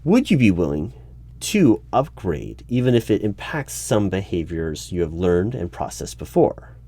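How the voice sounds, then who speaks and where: Man playing a deep-voiced, halting, suspicious hiring manager. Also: big pitch swings, warm tone, husky, exaggerated voice. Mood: probing, critical. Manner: halting, hesitant, with stammers and repeats. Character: wary, probing male hiring manager interviewing an android. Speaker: hiring manager at a secret agency. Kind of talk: one-sided audio roleplay. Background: computer keyboard typing.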